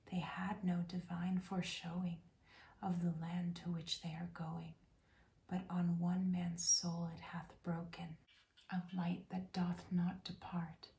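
Slow whispering woman reading poetry. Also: fairly low pitch, creaky, reverberant. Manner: soft unvoiced whisper throughout. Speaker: host.

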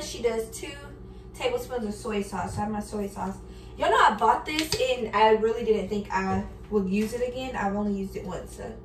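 A young woman in her early twenties talks in a fairly high voice, casual and candid, as if to friends following along while she cooks. She is recorded at home in an echoey kitchen, a little away from the mic, with faint noise behind her. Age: early 20s. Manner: informal, slangy, candid, occasionally swearing. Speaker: cooking vlogger. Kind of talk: casual chat to viewers while cooking. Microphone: camera on counter, a few feet away. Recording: roomy, distant kitchen recording with background noise.

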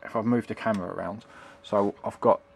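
A man's voice: on the low side, fairly even intonation, a little fast, gravelly, a little hiss or hum. A middle-aged man giving a casual, unscripted tutorial in a rambling, hesitant way, often repeating himself. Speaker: hobbyist demonstrating retro computing. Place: indoors at a home computer desk. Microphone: handheld camera's built-in microphone.

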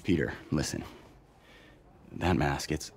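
An actor playing a calm middle-aged charity director in a game, speaking in a measured, earnest way. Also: male voice, extremely low pitch, gravelly, heavily acted.

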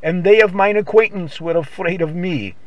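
A man's voice: sits high, moderate pace, no echo, dull audio. A man preacher reciting a psalm in a solemn, declamatory old-time gospel radio style. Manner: solemn, declamatory, archaic scriptural delivery.

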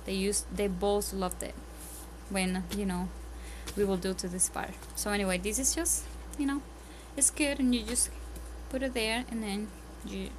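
A woman's voice: mid-range voice, steady tone, normal speed. Chatty woman with a Spanish accent. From Spanish-speaking country. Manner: chatty, earnest, many ums and restarts. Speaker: homeschooling parent. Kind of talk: casual tips vlog. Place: home, at a table, indoors. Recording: audible background noise.

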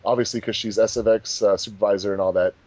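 Guy on a commentary track, quick talker, soft dark tone, keen to explain stuff. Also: fairly low pitch, steady tone, dry recording. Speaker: fandub producer and voice actor. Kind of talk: casual commentary track talk.

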